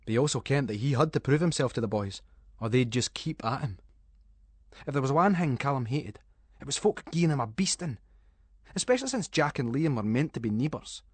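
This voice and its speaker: A man's voice: mid-range voice, animated, fairly quick, breathy edge, dry recording. A man with a Scottish accent giving a dramatic, suspenseful narration of a story in colloquial Scots dialect.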